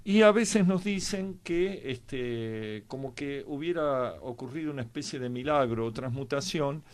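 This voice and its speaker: A man's voice: mid-range voice, lively intonation, a little slow. An Argentine man giving conversational historical commentary as a programme panelist, with discursive, hesitant phrasing.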